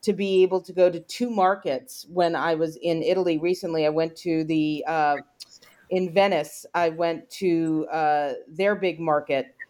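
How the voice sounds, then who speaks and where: A woman's voice: low-pitched, fairly even intonation, measured pace, a little mellow. A woman interviewing an author, asking curious questions in a chatty way that is full of "you know" fillers. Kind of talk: interview conversation.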